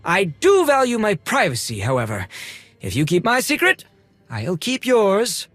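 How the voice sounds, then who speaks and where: An actor playing a fussy, haughty collector and droid restorer in a video game, with a prim, formal manner. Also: male voice, sits high, wildly swooping pitch, unhurried, fairly bright tone, no room sound, soft background music, exaggerated voice. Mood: haughty, guarded.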